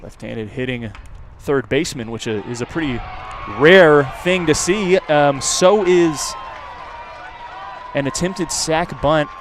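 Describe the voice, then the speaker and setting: A man's voice: fairly high pitch, takes it slowly, a faint crowd. A man calling live baseball play-by-play for radio in a conversational way, filling the gaps with player background. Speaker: play-by-play announcer. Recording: clear outdoor background noise. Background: outdoor ballpark ambience.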